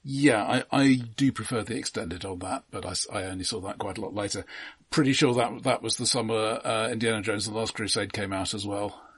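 A middle-aged British man telling anecdotes casually as a podcast co-host, in a halting, precise way. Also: on the low side, husky. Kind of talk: casual chat, personal anecdotes.

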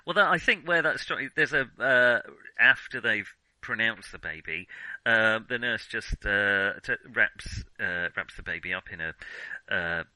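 A man with a very bright, forward voice talks through his opinions on film in a casual discussion. He is halting and keeps revising his sentences as he goes. The sound is dry and close. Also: lowish voice, expressive, dry recording, poor audio. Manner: hesitant, halting, self-correcting mid-sentence. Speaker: film podcast co-host.

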